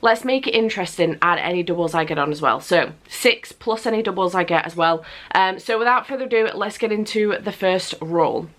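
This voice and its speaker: A woman's voice: lowish voice, a little fast, hardly any pauses, fairly bright tone. A young woman with a cold and a stuffy nose, chatting to camera as a book vlogger, repeating words for emphasis. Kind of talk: casual chat to camera. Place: home room with building work nearby.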